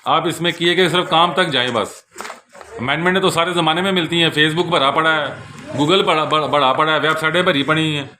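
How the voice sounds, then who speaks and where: A man's voice: fairly high pitch, a little fast, sharp tone, a little hiss or hum. A man with a Pakistani accent giving an informal product overview in a conversational, explanatory way, often repeating his points, recorded on a handheld camera. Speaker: bookseller presenting law books. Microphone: handheld camera held in one hand.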